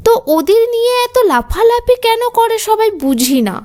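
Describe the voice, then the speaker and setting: A woman's voice: very high-pitched, big pitch swings, moderate pace, darkish tone. A woman playing a scornful, complaining village gossip in a cartoon. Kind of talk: Bengali cartoon voice acting.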